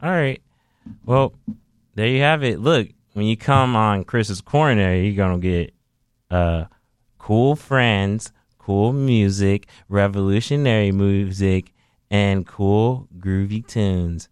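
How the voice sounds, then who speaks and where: A man hosting a casual radio show, talking in a relaxed, rambling way with lots of fillers. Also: fairly low pitch, slow pace, clean tone, dry recording, studio quality. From Haitian descent.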